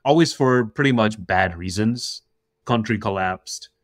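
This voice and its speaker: Low-voiced animated male comedian. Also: a little slow, dry recording, clean studio sound. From Russia. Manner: animated storytelling, laughs mid-sentence, acts out quoted voices. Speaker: comedian guest. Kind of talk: casual podcast conversation.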